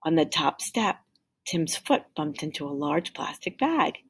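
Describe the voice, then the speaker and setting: A woman reading a children's picture book aloud like a teacher, with deliberate, expressive emphasis. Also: low voice, husky. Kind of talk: expressive storybook read-aloud.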